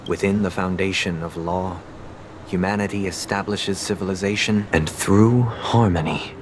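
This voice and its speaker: An actor playing a composed, devout young male villain in a video game, speaking in a measured, formal, solemn way. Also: deep voice, fairly even intonation, background noise, natural voice. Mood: solemn conviction. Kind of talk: dramatic video game voice acting. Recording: long reverb, loud game music behind.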